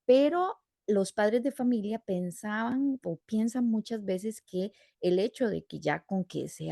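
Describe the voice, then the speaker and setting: A woman's voice: on the low side, creaky, dark tone, no room sound, studio quality. A woman hosting an interview podcast, explaining at length and adding rhetorical questions as she goes. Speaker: podcast host. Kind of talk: warm interview chat.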